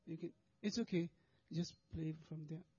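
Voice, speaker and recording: A man gives a talk in hesitant English, restarting words and filling pauses. His voice sits a little high and sounds mellow. The recording is slightly dull and lo-fi. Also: fairly even intonation, husky. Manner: halting, many restarts and fillers, non-native English. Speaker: monastic speaker presenting charity work. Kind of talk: presentation to an audience with video.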